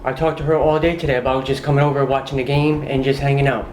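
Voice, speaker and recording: A man's voice: mid-range voice, flat delivery, quick pace, a little mellow, slight background noise. A man being confronted on camera, nervous and hesitant, answering defensively. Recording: roomy TV clip with faint music.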